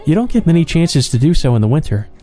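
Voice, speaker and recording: A man voicing a friendly, gentle young schoolgirl in a visual novel. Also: on the high side, big pitch swings, fast talker, soft background music, voice pushed up.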